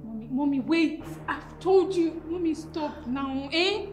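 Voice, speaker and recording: An actress playing an exasperated young adult daughter with a Nigerian accent, pleading and protesting in a screen melodrama. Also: female voice, high voice, expressive, a little slow, a little mellow. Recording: roomy sound with background music.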